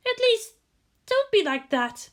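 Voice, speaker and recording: Earnest, very high-voiced teenage girl. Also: very animated, slow pace, heavily acted. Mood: pleading. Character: earnest, caring teenage girl in fan fiction.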